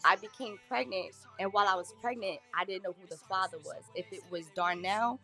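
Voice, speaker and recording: A woman in her thirties telling her life story in an interview, candid and plain-spoken, with halting restarts. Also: mid-range voice, gravelly, bright, forceful tone, soft background music. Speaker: guest. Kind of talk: personal testimony in an interview.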